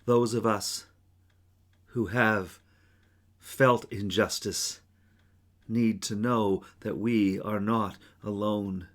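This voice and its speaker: A man preaching as a pastor, speaking in solemn, measured phrases with long pauses. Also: fairly low pitch, fairly even intonation. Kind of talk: sermon, reflection.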